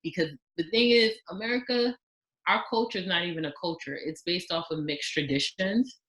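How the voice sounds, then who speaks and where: A young woman of Haitian background talking casually as a podcast guest, outspoken and animated, acting out the voices she quotes. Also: fairly low pitch, normal speed, low-quality recording.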